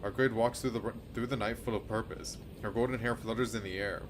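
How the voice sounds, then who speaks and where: A man's voice: lowish voice, steady tone, fast, noisy background. A man streaming a game, reading a visual novel aloud and adding casual commentary.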